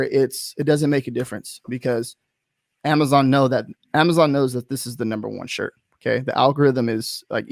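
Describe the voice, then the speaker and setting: A man with a gravelly, creaky voice at an ordinary pitch hosts a relaxed video-call interview. He rambles, restarts his sentences and leans on fillers. The sound is dry and close. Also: no room sound. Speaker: host.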